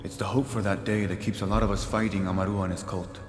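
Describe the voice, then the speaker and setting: Actor as dutiful rebel guard, low voice, formal, roomy echo and music. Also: male voice, steady tone, fast, lightly acted. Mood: earnest. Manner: earnest, respectful, formal. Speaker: rebel soldier at his post. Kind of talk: video game cutscene dialogue. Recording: echoey, reverberant game mix.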